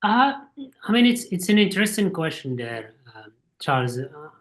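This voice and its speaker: A man's voice: fairly high pitch, big pitch swings, normal speed. Man, thoughtful guest. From Morocco. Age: 40s. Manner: thoughtful, explains at length. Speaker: guest, supply chain executive. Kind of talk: casual interview.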